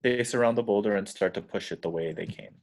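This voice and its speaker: A man's voice: on the low side, quick pace. A man reading screenplay stage directions as scripted narration in an amateur table read.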